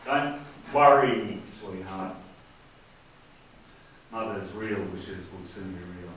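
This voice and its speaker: A man's voice: deep voice, fairly even intonation, takes it slowly, a bit bright. A man acting a henpecked, spiteful married older brother in a stage drama, with hesitant, stammering speech. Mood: meek, nervous. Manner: hesitant, stammering, word repetitions. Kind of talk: amateur stage play acting. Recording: echoey room from far off, faint noise.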